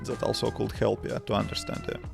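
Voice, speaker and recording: Latvian guy on a live stream, low gravelly flat voice, simple english, lots of restarts. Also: brisk, music in the background. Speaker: livestreaming developer answering chat. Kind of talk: casual live-stream chat.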